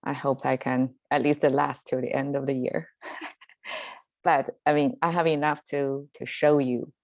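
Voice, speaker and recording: A woman with a Chinese accent speaks slowly in a low, unhurried voice, stopping often for fillers and restarts as she explains. The sound is dry and close, with no room around it. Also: level tone, darkish tone, no room sound. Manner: hesitant, lots of fillers and restarts, laughs easily. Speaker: language teacher and show host. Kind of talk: casual solo language lesson. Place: home in Southern California.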